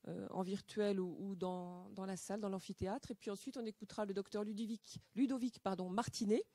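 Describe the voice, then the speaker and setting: Woman host, mellow voice, slow hesitant intros, dry close sound. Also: medium pitch, fairly even intonation, no room sound. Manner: halting, many fillers, polite. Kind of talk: event introduction. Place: lecture hall.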